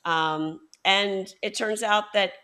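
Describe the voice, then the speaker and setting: A woman hosting a book podcast, recounting a novel's plot in a measured way with fillers. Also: mid-range voice, bright, forceful tone. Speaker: podcast host. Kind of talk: interview, summarizing the plot.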